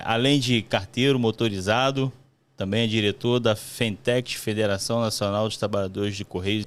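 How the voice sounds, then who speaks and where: A man's voice: lowish voice, a bit bright, clean tone. A Brazilian man with a Rio de Janeiro accent, hosting a podcast and interviewing in a conversational way. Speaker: podcast host, letter carrier.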